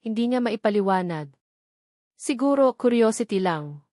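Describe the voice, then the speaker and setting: A woman's voice: fairly high pitch, lively intonation, normal speed, mellow, no echo, studio quality. A woman reading scripted, dramatic narration as the storyteller of a romance audio story.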